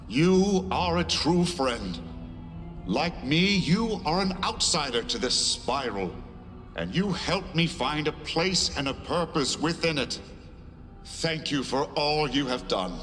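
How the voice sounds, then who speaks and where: A man voicing a gentle, childlike male entity in a game cutscene, speaking earnestly and deliberately. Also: fairly high pitch. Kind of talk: scripted game cutscene acting. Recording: long hall reverb, music underneath.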